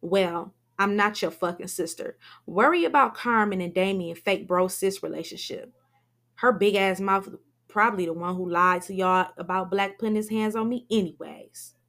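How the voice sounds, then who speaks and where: A woman voicing a defiant, sharp-tongued young woman who curses and argues. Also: medium pitch, moderate pace, natural voice. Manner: combative, cursing.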